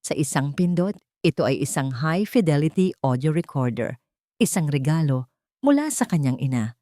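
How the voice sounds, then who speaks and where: Animated woman narrator, dry close sound. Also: sits low, moderate pace, no room sound. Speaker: storyteller, narrator. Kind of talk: scripted dramatic story narration.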